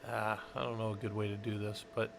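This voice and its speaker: A man's voice: fairly low pitch, flat delivery, brisk, vocal fry, soft background music. A man from the Southwest United States rambling and thinking aloud while teaching a casual live-stream tech tutorial, chatting with viewers as he works.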